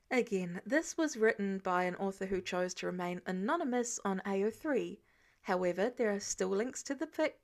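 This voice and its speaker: A woman narrating fan fiction in an expressive storytelling style. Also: fairly high pitch.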